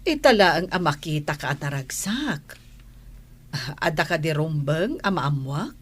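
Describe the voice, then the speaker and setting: A woman playing a concerned mother who gently admonishes her child, with a husky voice, in a radio drama. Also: sits low, lively intonation, unhurried, full character voice. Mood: gently probing. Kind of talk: Ilocano radio drama acting.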